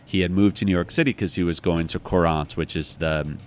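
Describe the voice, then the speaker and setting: Low-voiced, thoughtful American man. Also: fairly even intonation, brisk. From United States, Chicago. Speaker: author, interview guest. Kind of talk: reflective conversational interview.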